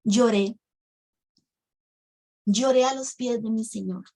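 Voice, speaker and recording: A woman's voice: a little high, slow, gravelly, a little mellow. A woman, telling her religious testimony emotionally to a women's ministry. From Costa Rica. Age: 50s. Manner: emotional storytelling, addresses the audience as sisters.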